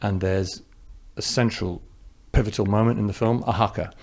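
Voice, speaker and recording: Gravelly low-voiced man with an English accent. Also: fairly even intonation, moderate pace, a little mellow. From New Zealand. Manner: reflective, thinking aloud with restarts. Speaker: film director. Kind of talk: interview answers.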